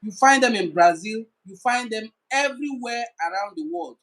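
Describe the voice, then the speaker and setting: A man's voice: high-pitched, expressive, normal speed, a bit bright. A man with a Nigerian accent giving an educational talk to camera, listing points in a repetitive, emphatic way. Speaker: cultural commentator.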